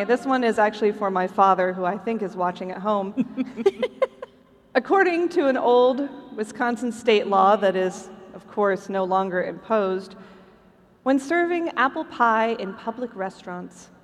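A woman's voice: mid-range voice, expressive, unhurried. A woman co-hosting a live stage show, presenting trivia and stories to an audience. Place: large convention hall stage, over PA. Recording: hall reverb, faint music, live stage.

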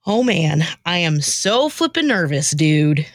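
A woman's voice: low voice, sing-song, slow pace, no echo, clean studio sound, exaggerated voice. A woman playing a nervous, repentant woman in an amateur comic radio play, hesitant, slangy and self-deprecating. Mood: anxious, reluctant.

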